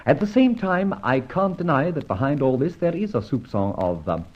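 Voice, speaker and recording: An actor playing a masked, mocking yet courteous gentleman abductor in an old-time radio detective drama, with a theatrical, urbane, teasing delivery. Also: a little high, big pitch swings, normal speed, highs cut off.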